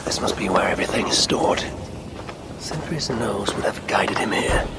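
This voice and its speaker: An actor playing a brooding, resolute Norse warrior god in a video game, speaking gravely and deliberately in a gravelly voice. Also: male voice, on the low side, animated. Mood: musing, investigating. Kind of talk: video game dramatic acting and musing. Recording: loud background ambience and noise.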